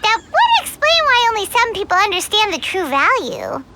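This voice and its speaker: A woman voicing a tiny, excitable, childlike female fairy companion in a video game, with a squeaky voice. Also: normal speed, heavy background noise, full character voice. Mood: eager. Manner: exclaiming, refers to self in third person.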